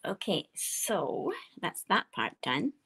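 A woman with a quiet voice, chatting and explaining each step as she demonstrates card making at her craft desk. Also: fairly low pitch, sing-song, slow pace, husky. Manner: chatty, explaining steps while working.

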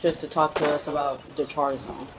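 Low-voiced sassy young woman gamer. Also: flat delivery, brisk, noisy background. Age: young adult. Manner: blunt, sassy, unfiltered. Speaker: gaming YouTuber. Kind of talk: casual game reaction commentary. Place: indoor room at home. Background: game music.

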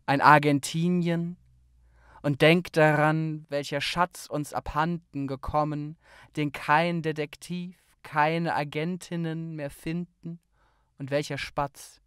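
A poet reading their own literary work in a measured, deliberate manner with pauses between phrases. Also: mid-range voice, steady tone, a bit bright, clear, resonant tone, no echo, studio quality. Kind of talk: literary poetry reading, spoken word.

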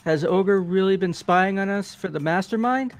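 A man's voice: high voice, normal speed. A man voicing an uneasy, doubting young male student in a visual novel game.

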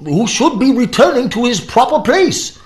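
A man's voice: very high-pitched, big pitch swings, normal speed, pitched above the performer's own voice. A man voicing a grumpy, domineering retired professor in an audio story, speaking in a pompous, formal way. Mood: indignant. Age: older. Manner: pompous, formal, clenched indignation.

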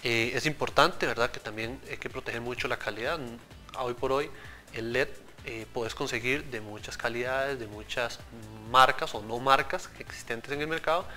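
A man explains things earnestly, in a bright, clear voice at a middling pitch. He speaks into a lapel mic in a TV studio, with faint music underneath. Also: moderate pace. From Central America. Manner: explanatory, earnest, addresses host directly. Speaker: product engineer guest. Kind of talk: TV studio interview.

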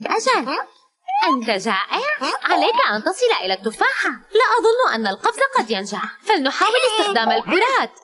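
A woman's voice: sits high, huge pitch swings, quick pace, fairly bright tone. A woman narrating a children's educational cartoon, exclaiming and talking as if to a small child, with some noise in the recording. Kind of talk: scripted children's narration, teaching vocabulary.

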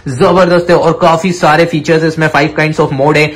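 Excitable higher-pitched man hyping toys. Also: normal speed. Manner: excitable, exclaiming, repetitive hype. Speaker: toy review video host. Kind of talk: enthusiastic toy showcase commentary addressed to viewers. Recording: clear background noise with clicks.

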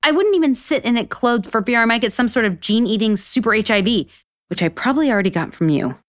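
A woman's voice: a little high, fast, no echo, clean studio sound, lightly acted. An actress playing a sarcastic, easily exasperated woman author in a romantic comedy audio drama. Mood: exasperated, incredulous. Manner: sarcastic, excitable.

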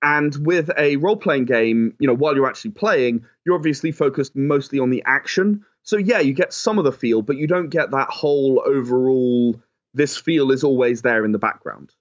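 A man giving conversational, explanatory interview answers, often softening his points with "sort of". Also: mid-range voice, normal speed, highs cut off. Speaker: game designer and writer, interview guest.

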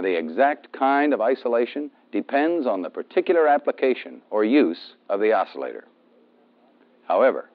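American man narrating, lively pitch, vintage soundtrack. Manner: methodical, explanatory, emphatic on key terms. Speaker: instructor narrating a military training film. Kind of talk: instructional scripted narration. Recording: dull, band-limited 1970s film soundtrack.

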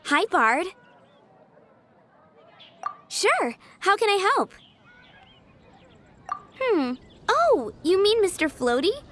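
A woman's voice: extremely high pitch, sing-song, drawn-out words, pauses often, fairly bright tone, slight background noise, heavily acted. A woman voicing a friendly, dreamy little flower-shop girl in a video game, with a sweet childlike voice and an earnest manner. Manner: giggly, earnest storytelling. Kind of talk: video game character dialogue. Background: game music.

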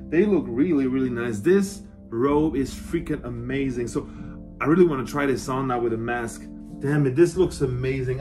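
A man in his thirties giving a casual, enthusiastic review to camera at home, informal in his way of talking. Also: fairly high pitch. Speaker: collector presenting his costume collection. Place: small cluttered room at home, indoors.

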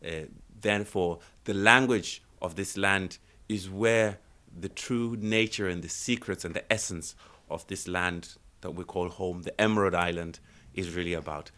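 A man in his fifties, a poet, speaking slowly and earnestly about a poem from a book-lined room. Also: sits low, sharp tone. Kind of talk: introducing a poem. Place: home room with bookshelves, indoors.

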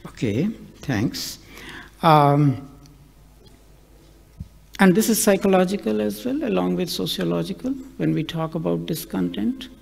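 A middle-aged man interviewing an author on a festival stage, asking formal, halting questions into a handheld mic. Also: high-pitched, lively intonation, gravelly, darkish tone, breathy edge. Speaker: interviewer, academic moderator. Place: large stage hall at a festival. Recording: long reverb of a hall.

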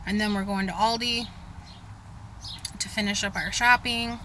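A woman's voice: mid-range voice, fairly even intonation, moderate pace, background noise. A young woman chatting casually through a grocery haul vlog, enthusiastic about the food she shows, speaking into a handheld camera in her car and later in her kitchen. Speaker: vlogger. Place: parked car, then kitchen.

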